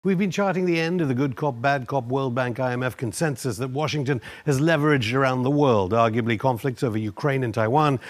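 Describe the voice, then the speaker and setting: A man presents and interviews in a broadcast style. His voice is of middling pitch. He builds long, wordy sentences with a pointed edge. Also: a little fast, few pauses. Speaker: interviewer, show host.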